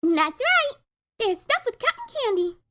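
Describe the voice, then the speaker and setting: A bubbly young female cartoon pony with a squeaky, extremely high voice. Her delivery swings widely, perky and singsong, full of hyper enthusiasm. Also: sing-song, a little slow, bright, forceful tone, a little hiss or hum, exaggerated voice. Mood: chirpy, proud. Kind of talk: fan-fiction dramatic reading.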